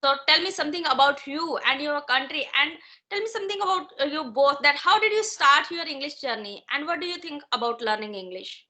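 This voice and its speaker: A woman in her thirties from India, whose first language is Hindi, chatting in English during conversation practice, warm and hesitant with many fillers. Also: sits high, fairly quick. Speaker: guest, English learner. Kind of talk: casual conversation practice on a call.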